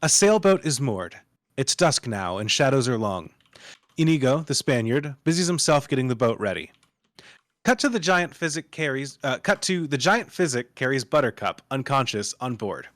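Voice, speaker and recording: A man's voice: mid-range voice, big pitch swings, moderate pace, a bit bright, no echo, studio quality. A man reading screenplay stage directions aloud as the narrator of a table read.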